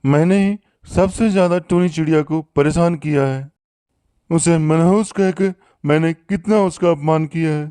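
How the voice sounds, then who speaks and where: A man's voice: fairly high pitch, normal speed, mellow, clean tone, no echo, studio quality, lightly acted. A man playing a bossy male hawk in a cartoon story, with a theatrical, demanding manner. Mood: remorseful, pleading. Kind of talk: Hindi cartoon story voice acting.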